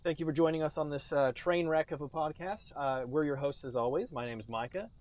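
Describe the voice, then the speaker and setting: A man's voice: a little high, a little fast, a little mellow. A man hosting a podcast in casual, sarcastic comedic banter, joking in a hammy way. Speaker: podcast host.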